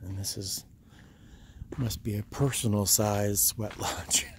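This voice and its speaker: A man's voice: lowish voice, steady tone, slow. An American man from Rhode Island chatting casually to the viewer as he explores, thinking aloud in a wry, musing way. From Rhode Island, United States. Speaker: vlogger. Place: outdoors and inside small huts at a tourist site. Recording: outdoor ambient noise, handheld camera.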